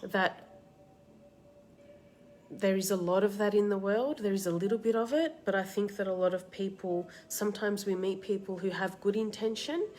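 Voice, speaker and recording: A woman with a slight cold and a tickly throat, hosting a live card reading and giving soft, reassuring advice to viewers by name. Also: medium pitch, moderate pace, a little hiss or hum. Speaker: card reader and meditation host. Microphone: live-stream setup.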